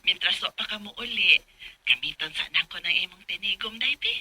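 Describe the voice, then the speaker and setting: An actress playing a brazen, wheedling, hot-tempered elder sister in a radio drama, with an emphatic, insistent delivery. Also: female voice, mid-range voice, expressive, fairly quick, heavy background noise, natural voice. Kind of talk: Filipino radio drama acting.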